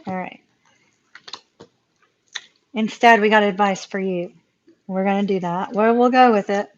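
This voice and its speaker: A woman, giving a live tarot reading in a chatty way, with a voice going hoarse, laughing often and repeating words for emphasis. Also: medium pitch, steady tone, unhurried, muffled recording. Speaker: tarot reader.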